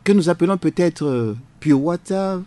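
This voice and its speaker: A man's voice: on the high side, animated, normal speed, dark tone. A man, a jurist guest on a radio debate, arguing emphatically with a preacherly cadence. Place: radio studio.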